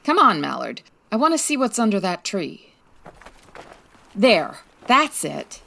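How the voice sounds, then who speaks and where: An actress as a sassy 1940s female private eye in a comic radio play. Her voice swings freely in pitch over a gravelly creak, and she tosses out dry, teasing quips. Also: big pitch swings, slow pace, bright, forceful tone, exaggerated voice. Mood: excited, curious. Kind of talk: comedic retro radio drama acting.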